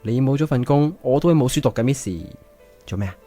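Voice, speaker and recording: A man's voice: mid-range voice, quick pace, mellow, faint music behind, natural voice. A man acting in a Cantonese radio drama as a cocky, impulsive seventeen-year-old schoolboy with a Hong Kong accent. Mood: teasing.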